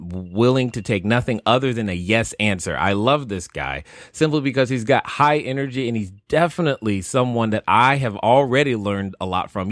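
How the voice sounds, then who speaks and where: A man hosting a podcast interview, pitching his site and introducing his guest with enthusiastic, salesy energy. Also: fairly bright tone, dry recording. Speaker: podcast host.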